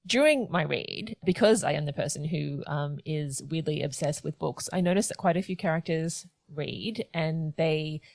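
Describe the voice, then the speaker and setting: Deep-voiced woman interviewer, thoughtful. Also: normal speed, vocal fry, hardly any pauses, a little mellow, no room sound. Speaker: interviewer, host. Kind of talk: conversational interview questions.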